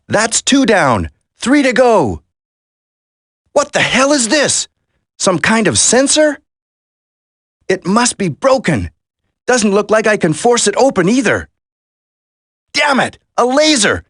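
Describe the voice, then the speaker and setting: Young agent hero, earnest, slow deliberate lines, swingy pitch, dry. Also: male voice, a bit bright, dry recording, heavily acted. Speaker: voice actor in a video game. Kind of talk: video game dialogue acting.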